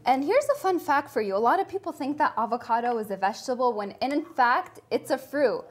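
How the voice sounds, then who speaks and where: A woman's voice: sits high, animated, brisk. A young woman from California, a dietetics student, upbeat and talking straight to camera as she presents a cooking segment in a studio kitchen. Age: young adult.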